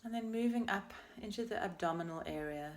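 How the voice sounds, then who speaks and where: A woman leading a guided meditation as a teacher, speaking in a gentle, unhurried and soothing way with pauses between phrases. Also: mid-range voice. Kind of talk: guided meditation, body scan.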